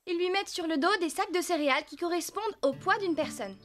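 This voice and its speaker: High-voiced teenage cartoon rider. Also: female voice, fast, a bit bright, soft background music. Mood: calm, kindly. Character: kind, modest teenage girl rider at a ranch. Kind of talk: animated series voice acting, French dub.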